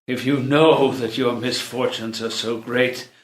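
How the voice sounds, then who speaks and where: A man's voice: medium pitch, steady tone, a little slow, slight background noise, lightly acted. A man playing a stern older jailer torn by duty, declaiming grandly in a play read-through. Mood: grave reproach. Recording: roomy, echoey sound.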